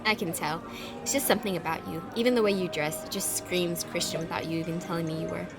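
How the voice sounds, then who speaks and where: A woman's voice: fairly low pitch, quick pace, music in the background, voice pushed down, natural voice. A woman playing a friendly, curious teenage girl in an amateur audio drama, chatty and eager.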